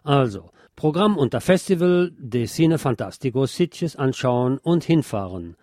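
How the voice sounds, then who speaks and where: Mellow-voiced man presenting radio news. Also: medium pitch, lively intonation, a little slow, dry recording, clean studio sound. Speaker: radio show host. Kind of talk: news and culture tips for radio.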